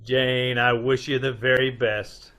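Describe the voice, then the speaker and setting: A man's voice: medium pitch, flat delivery, measured pace, a bit bright, close to an everyday voice. A man playing a wry, flirtatious husband and amateur sleuth in a dry, wisecracking radio comedy-mystery. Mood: genial. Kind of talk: old-time radio comedy-mystery acting.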